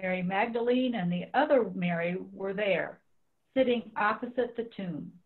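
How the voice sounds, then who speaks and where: A woman's voice: medium pitch, moderate pace. A woman giving a dramatic scripture reading as one of several readers. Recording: thin phone-like audio.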